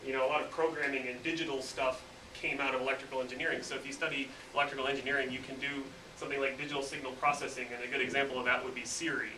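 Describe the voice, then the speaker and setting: A man's voice: medium pitch, very fast. A man, an engineer, casually explaining his work to students in a classroom, with many filler words. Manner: relaxed, lots of "you know" fillers. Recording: roomy distant mic with noise.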